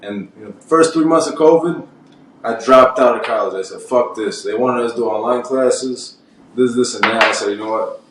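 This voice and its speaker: A man's voice: medium pitch, moderate pace, a bit bright, slight background noise. A man in his twenties, a podcast guest, telling his work history bluntly with lots of filler and swearing. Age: 20s. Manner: rambling, blunt, swears freely. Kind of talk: casual podcast chat. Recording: very echoey room, mic far off.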